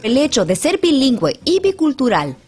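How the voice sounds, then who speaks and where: A woman reporter narrating a news feature in a lively, expressive scripted voice-over. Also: high voice, moderate pace, soft background music.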